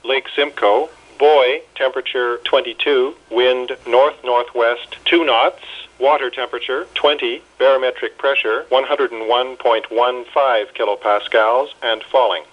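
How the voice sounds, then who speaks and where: A man's synthetic voice reads an automated weather bulletin in measured, impersonal, clipped phrases. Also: medium pitch, fairly even intonation. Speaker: weather radio announcer. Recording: muffled weather radio broadcast.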